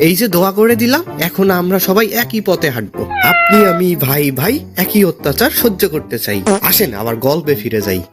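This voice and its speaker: Young male Bangladeshi cartoon narrator: playful, mock-complaining, high-pitched and very lively in delivery. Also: a little fast, hardly any pauses. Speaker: cartoon narrator playing the son. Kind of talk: comic scripted storytelling. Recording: music behind the voice.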